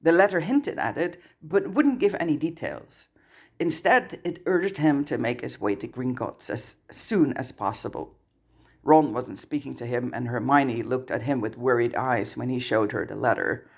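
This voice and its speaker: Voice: medium pitch, normal speed, gravelly, slightly rough. A narrator reading fan fiction aloud as scripted story narration. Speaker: audiobook narrator.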